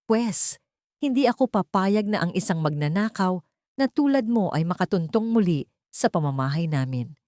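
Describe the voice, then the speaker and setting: A woman's voice: animated, normal speed, warm tone, no room sound, studio quality, close to an everyday voice. A woman voicing a spiteful, haughty rich young heiress in an audiobook drama, scornful and accusing. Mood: contemptuous, accusing. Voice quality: shrill.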